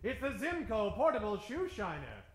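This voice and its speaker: A man's voice: high-pitched, wildly swooping pitch, normal speed. A man narrating as host of an old-time radio show with a theatrical announcer's delivery. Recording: roomy live stage sound.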